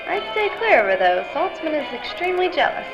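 A woman's voice: high-pitched, very animated, brisk. An American woman playing a polite, prim young aspiring actress who loves gossip, in a period radio drama. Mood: gossipy. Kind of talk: 1940s-style radio drama acting. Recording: dull lo-fi sound, loud music behind.

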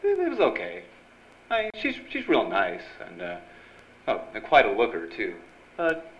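An American man playing a brash, smooth-talking young newspaper reporter in a period radio drama. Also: fairly low pitch, huge pitch swings, moderate pace, slight background noise. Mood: hesitant. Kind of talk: 1940s-style radio drama acting. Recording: dull lo-fi sound, loud music behind.

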